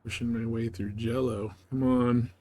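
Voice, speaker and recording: A man's voice: medium pitch, level tone, normal speed, mellow. Laid-back man streaming a game. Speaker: live streamer playing a space game. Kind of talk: casual gaming stream chat, thinking aloud.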